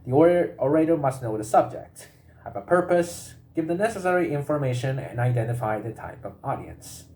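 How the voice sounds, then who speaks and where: Male reader, mid-range pitch, prepared informational script, halting delivery with repeated words. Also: darkish tone. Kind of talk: reading a prepared informational text aloud.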